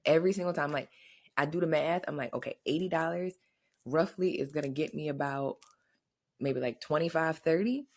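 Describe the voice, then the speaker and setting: A woman in her thirties co-hosting a casual podcast from her home, chatty and animated, acting out little quotes as she tells stories. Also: sits low, fairly quick. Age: 30s. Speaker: podcast co-host. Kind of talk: casual chat. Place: her home, in front of a flower wall.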